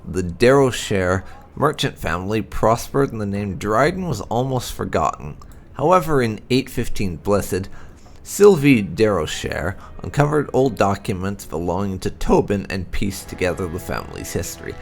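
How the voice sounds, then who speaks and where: Guy reading game lore out loud, kinda low and gravelly, really animated, dramatic emphasis. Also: normal speed. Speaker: gaming video host. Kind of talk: expressive read-aloud narration of game lore.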